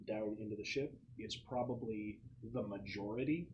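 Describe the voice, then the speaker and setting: A man running a tabletop game as game master, describing scenes off the cuff and pausing as he searches for words. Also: lowish voice, a little mellow, lo-fi sound, a little hiss or hum. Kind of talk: improvised tabletop game narration.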